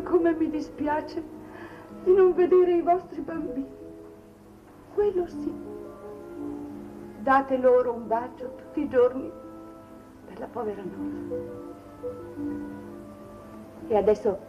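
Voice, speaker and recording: A frail, resigned old mother in a 1950s Italian TV drama. Her voice is rather high, tender and solemn. The recording is dull, with faint music behind it. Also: a little slow, long pauses. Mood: wistful.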